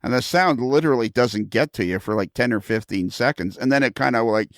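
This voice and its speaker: A man's voice: medium pitch, fairly quick, no echo, studio quality. A man hosting a casual podcast chat, who asks his guests questions and laughs often. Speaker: podcast host.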